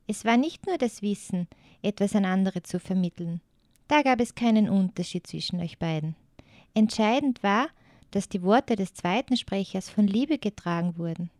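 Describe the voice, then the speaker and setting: A woman voicing a small, dirty but wise girl in an audio story, speaking gently and softly. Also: medium pitch, no echo, studio quality, close to an everyday voice. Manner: gentle, soft-spoken storytelling. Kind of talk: audiobook character voice.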